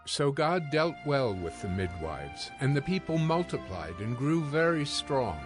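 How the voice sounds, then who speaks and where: A man narrating scripture from a script in a measured, solemn manner. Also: mid-range voice, sing-song, music in the background.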